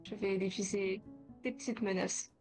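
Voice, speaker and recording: A woman voicing a calm, obedient talking radio that speaks in a soft, polite way. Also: medium pitch, normal speed, soft background music, natural voice, poor audio.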